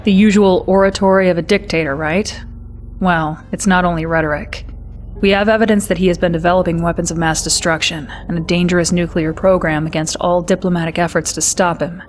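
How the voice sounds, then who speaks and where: A woman playing a brisk, wry military intelligence officer, giving a scripted mission briefing in a crisp, confiding manner. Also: on the low side, fairly even intonation, slight background noise, natural voice.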